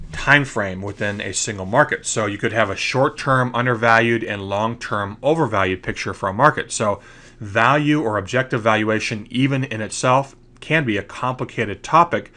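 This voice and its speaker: A man's voice: on the low side, pushed and bright. Congested man giving a trading lecture. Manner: explanatory, repeats "okay?" to check understanding. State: congested from allergies. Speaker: trading educator. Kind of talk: screen-recorded slide lecture.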